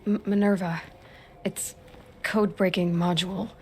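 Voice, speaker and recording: An actress playing a determined, guarded young woman hero in a video game, with a breathy voice. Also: fairly low pitch, steady tone, normal speed, darkish tone, a little hiss or hum, natural voice. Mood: urgent, determined. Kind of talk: video game cutscene acting.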